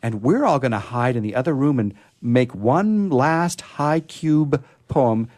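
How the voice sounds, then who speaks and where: A man hosting a radio show in casual chat, rambling and halting as he goes. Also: medium pitch, lively intonation, a little mellow.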